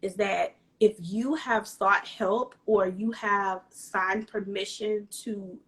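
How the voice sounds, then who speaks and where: A woman's voice: medium pitch, fairly even intonation, takes it slowly, fairly bright tone, poor audio. Reassuring woman guest. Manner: reassuring, explanatory. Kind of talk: casual conversation.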